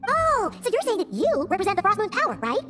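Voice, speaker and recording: A tiny, chatty fairy companion for a video game. The voice is extremely high and swoops animatedly at a very fast clip. It is blunt, childlike and openly expressive. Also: female voice, music in the background, full character voice. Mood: puzzled.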